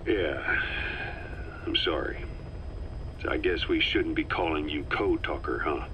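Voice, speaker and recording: A man playing a gruff military interviewer in a video game, casual and probing yet sympathetic, on a lo-fi tape recording. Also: a bass voice, slow, exaggerated voice. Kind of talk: game dialogue, questioning debrief. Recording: dull lo-fi tape, faint noise.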